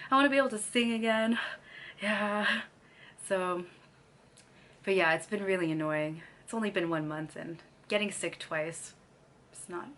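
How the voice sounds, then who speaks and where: A woman's voice: mid-range voice, fairly bright tone. A young woman from Canada chatting casually to camera, laughing often between sentences, her throat still a little scratchy. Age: young adult. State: slightly scratchy throat after losing her voice. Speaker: vlogger.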